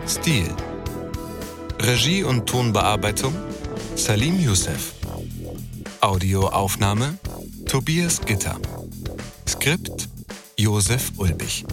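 Deep-ish guy reading out credits, lots of pitch movement, loud guitar under him. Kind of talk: scripted credits narration. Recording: loud guitar music behind voice. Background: guitar music.

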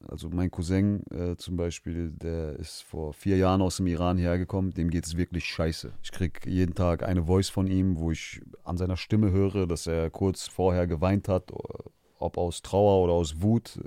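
A man from Germany talks frankly as a podcast guest, getting heated and swearing without a second thought. His voice sits very low with a mellow, dark tone. The sound is close and dry. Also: little pitch movement, moderate pace, smooth, no room sound. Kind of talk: candid conversational interview.

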